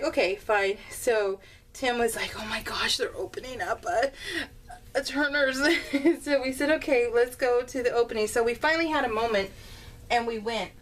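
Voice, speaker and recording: Chatty American woman, slightly distant mic. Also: fairly high pitch. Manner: rambling, conversational, giggly. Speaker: crafting video host. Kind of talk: casual chatty vlog voiced in real time. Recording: slightly distant mic, faint room noise.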